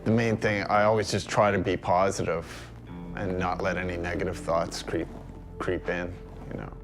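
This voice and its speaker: A man in his forties from Nova Scotia talks plainly and measuredly about his life, pausing on small fillers. His low voice stays flat and even, over clear background music. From Nova Scotia, Canada. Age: 40s. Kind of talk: documentary interview.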